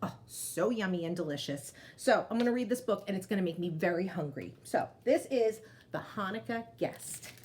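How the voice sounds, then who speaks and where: A woman storyteller reading aloud to children, expressive, with chatty asides that speak to them directly. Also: medium pitch, normal speed, a little hiss or hum.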